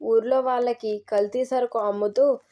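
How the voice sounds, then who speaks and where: A woman's voice: fairly high pitch, steady tone, moderate pace, mellow, lightly acted. A woman voicing a loyal, deferential male village servant in a moral story.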